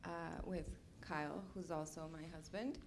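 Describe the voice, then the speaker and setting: A woman from Colombia, introducing herself on a panel in a relaxed, slightly hesitant way. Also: sits low, moderate pace, gravelly, a little hiss or hum. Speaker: panelist, producer and director.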